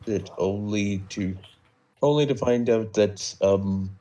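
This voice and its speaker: A man recounting a roleplay story off the cuff on a live stream, halting and measured, wandering into small digressions. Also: lowish voice, warm tone. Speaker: storyteller recapping a game server roleplay.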